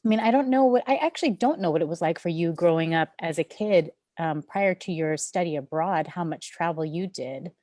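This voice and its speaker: Low-voiced woman, earnest and effusive. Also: brisk, hardly any pauses, warm tone, no echo, clean studio sound. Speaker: guest. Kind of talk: casual chat. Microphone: video call.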